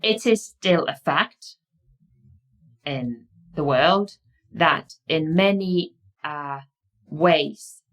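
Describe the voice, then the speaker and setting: A woman's voice: low voice, extremely slow, long pauses. A woman with a Finnish accent, whose first language is Finnish, lecturing in a thoughtful way that often halts with fillers and restarts. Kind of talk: explaining lecture.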